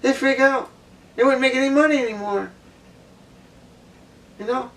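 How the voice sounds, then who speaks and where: A woman with a low voice giving a blunt opinion monologue, repeating her points for emphasis. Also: moderate pace, recorded from a distance. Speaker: vlogger.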